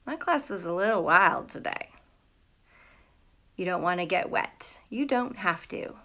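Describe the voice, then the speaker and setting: A woman's voice: lowish voice, a little slow. A middle-aged American woman, a teacher, chatting casually and animatedly with children on a livestream from a room at home. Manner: chatty and animated, greeting viewers by name, asking questions. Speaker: elementary school teacher hosting a live read-aloud. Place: small room at home, indoors. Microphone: phone or tablet at arm's length.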